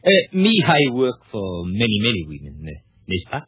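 A gushing French fashion designer in an old radio drama, his voice thick with a French accent and full of flattery. The tone is very husky and swoops up and down with lively pitch. The sound is tinny and dry, like an old broadcast. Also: normal speed, dry recording, exaggerated voice. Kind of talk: 1940s radio acting.

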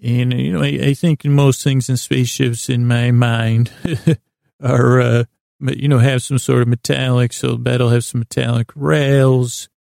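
A man hosting a sleep podcast, rambling in a meandering, hesitant, deliberate way with a self-deprecating streak. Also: medium pitch, flat delivery, gravelly, darkish tone, no room sound, clean studio sound. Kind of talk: rambling bedtime monologue.

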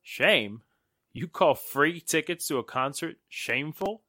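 An actress playing a breezy, wisecracking friend with wry, slangy talk in 1940s radio drama style. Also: female voice, low voice, animated, a little slow, sharp tone, dry recording, studio quality, full character voice. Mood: playful, excited. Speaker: actor.

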